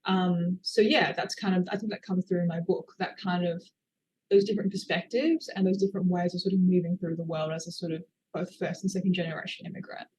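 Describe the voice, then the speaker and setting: A woman's voice: fairly low pitch, fairly quick, a little mellow, a little hiss or hum, low-quality recording. A woman, an author, answering interview questions in a thoughtful, hedging way with many fillers. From Australia. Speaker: author guest.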